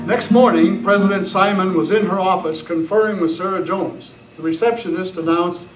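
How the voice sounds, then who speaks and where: A man's voice: high-pitched, normal speed, over loud music, natural voice. A man reading scripted narration for an old-time radio western. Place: club meeting room, live presentation. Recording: echoey, noisy room, distant mic.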